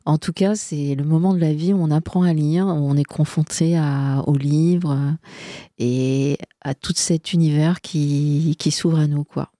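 Woman radio host, low mellow voice, dry studio sound, chatty with lots of hesitations. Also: fairly even intonation, measured pace, dry recording. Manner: chatty, hesitant, many fillers. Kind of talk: casual radio chat.

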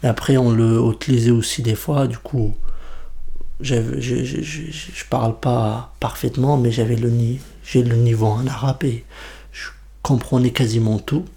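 Soft-toned young man, hesitant French. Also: mid-range voice, steady tone, moderate pace, gravelly. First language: Kurmanji. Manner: hesitant, repeats words while searching for them. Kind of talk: documentary interview testimony.

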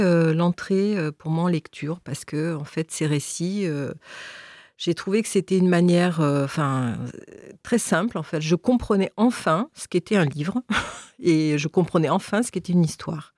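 A woman's voice: fairly low pitch, a little mellow, no room sound, studio quality. A woman guest on a radio chat show, talking earnestly about reading and searching for her words. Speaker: radio guest, book club reader. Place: radio studio.

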